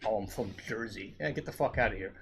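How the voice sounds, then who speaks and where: A man's voice: on the low side, fast, fairly bright tone. A man co-hosting a casual podcast, explaining his views emphatically and swearing often. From New York. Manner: emphatic, profane, explains a theory at length. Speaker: podcast co-host. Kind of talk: casual chat.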